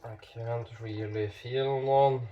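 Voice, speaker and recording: A man with a stuffy nose from a cold, talking slowly while demonstrating knife sharpening, with halting pauses and self-corrections. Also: medium pitch, steady tone, distant mic, highs cut off, background noise. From Norway. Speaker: knife sharpening channel host. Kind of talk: casual hands-on demonstration.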